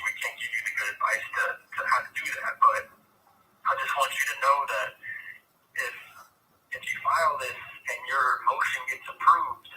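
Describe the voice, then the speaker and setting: A man's voice: mid-range voice, bright, forceful tone, a little hiss or hum. An American man chatting casually on a recorded phone call, rambling and hesitant.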